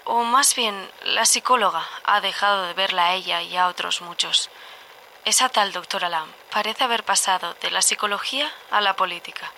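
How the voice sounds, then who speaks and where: A woman playing a troubled, observant diarist in an audio drama monologue, measured and confessional. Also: medium pitch, gravelly, pushed and bright, a little hiss or hum, poor audio. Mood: worried, reflective.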